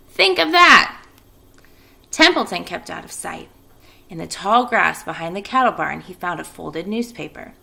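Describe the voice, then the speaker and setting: A woman's voice: fairly low pitch, creaky, bright, forceful tone. A woman speaking as an elementary school teacher, addressing and reading to children. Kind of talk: children's read-aloud and casual class announcements.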